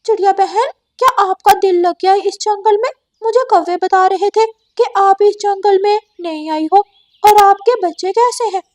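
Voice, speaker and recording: A woman voicing a chatty, excitable male parrot in a cartoon, exclamatory and nosy. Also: very high voice, moderate pace, dry recording, voice pushed up. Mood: chatty, curious.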